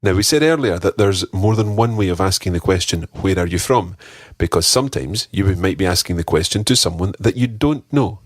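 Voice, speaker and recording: A man's voice: deep voice, lively intonation, moderate pace, hardly any pauses, no room sound, studio quality. A man teaching a podcast language lesson, patiently modelling pronunciation and repeating drills for a learner. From Scotland.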